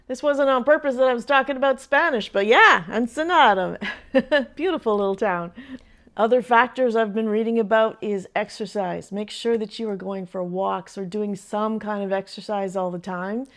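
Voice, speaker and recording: An older woman, a retired teacher and grandmother, talks in a chatty, earnest way to camera, sharing advice and often laughing softly between thoughts. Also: medium pitch, normal speed. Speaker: vlogger. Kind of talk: casual advice vlog.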